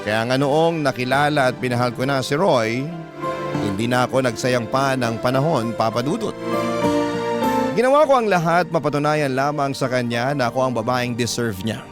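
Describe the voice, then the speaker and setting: A Filipino male radio host giving a heartfelt, dramatic reading of a listener's love letter in a deliberate storytelling way. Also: mid-range voice, lively intonation. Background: prominent music.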